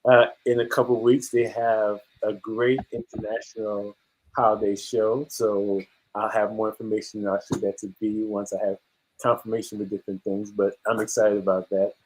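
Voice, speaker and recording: A man's voice: on the low side, level tone, normal speed, a little mellow, slight background noise, rough recording. A man from Guyana, a musician chatting casually as an interview guest in an encouraging, easygoing manner.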